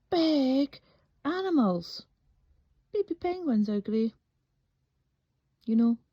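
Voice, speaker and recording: A woman voicing an exhausted, overworked grey crayon from a storybook, with weary, drawn-out exasperation. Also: high-pitched, warm tone, pitched above the performer's own voice, full character voice. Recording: dull, echoey room recording.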